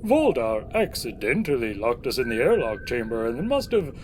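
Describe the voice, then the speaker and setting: Old Santa, male actor: kindly, gently lecturing, wildly varied intonation, faint noise behind, for a comic audio drama. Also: medium pitch, moderate pace, close to an everyday voice. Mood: wry, deadpan. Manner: avuncular, gently lecturing, deadpan. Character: jolly, kindly old Santa Claus with dry wit. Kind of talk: comic audio play acting.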